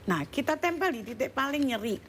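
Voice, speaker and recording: A woman's voice: high-pitched, animated, a little fast, a bit bright, slight background noise. A woman doctor appearing as a guest on a television health talk show, explaining treatments in a chatty way with casual fillers. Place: TV studio.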